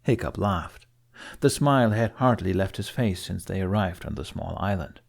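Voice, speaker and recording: Casting: male fiction narrator, lowish register, creaky gravel, scripted audiobook read. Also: expressive, normal speed.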